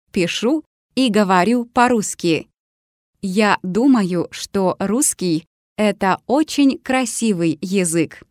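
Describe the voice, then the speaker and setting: A woman reading lesson dialogues aloud in a measured, carefully articulated way. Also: mid-range voice, a little mellow, no room sound, clean studio sound. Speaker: language teacher.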